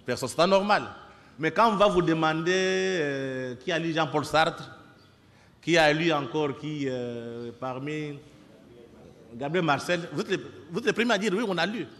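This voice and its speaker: A man's voice: fairly high pitch, unhurried, a bit bright. Congolese man lecturing in an echoey hall. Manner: didactic, exhorting, with rhetorical questions. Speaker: speaker addressing an audience of young people and students. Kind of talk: impassioned public lecture. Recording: echoey hall with long reverb.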